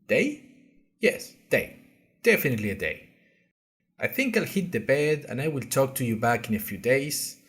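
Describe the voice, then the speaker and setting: A man playing a bewildered, earnest young college newcomer, narrating an audio diary podcast in a wry, hesitant, conversational way straight to listeners. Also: medium pitch. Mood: tired, hopeful. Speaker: narrator and podcast host character. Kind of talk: first-person audio fiction narration.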